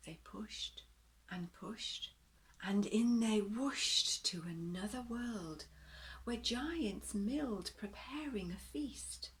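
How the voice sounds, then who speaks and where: A woman reading a children's story aloud in a gentle, deliberate, expressive way, pausing to talk to her young listeners. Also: medium pitch, rough recording.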